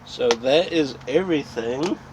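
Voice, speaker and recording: A man's voice: medium pitch, lively intonation, unhurried. A man hosting a casual, unscripted collecting vlog, rambling and thinking aloud as he goes. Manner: rambling, thinking aloud as he looks over items. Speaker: host of a video channel about film collecting. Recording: dull lo-fi sound with background noise.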